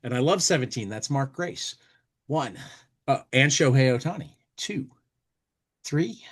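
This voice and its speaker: A man hosting a podcast in casual chat, rambling and halting, often restarting his phrases. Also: mid-range voice, expressive. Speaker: podcast host.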